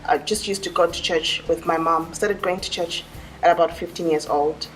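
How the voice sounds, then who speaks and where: A woman from Zimbabwe tells her faith story in a calm, measured way. Her voice is on the low side. She sounds a little far from the mic, with faint music underneath. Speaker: church member giving baptism testimony. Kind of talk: personal testimony.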